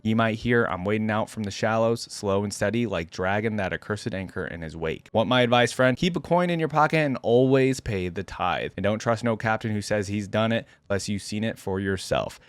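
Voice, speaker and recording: A weathered old harpooner played by a male narrator tells his tale over a drink in a storybook reading. The voice is fairly low and dry, with chatty, rustic pirate-like turns of phrase. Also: dry recording, natural voice. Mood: ominous, advising. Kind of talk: dramatic reading of a first-person sea tale.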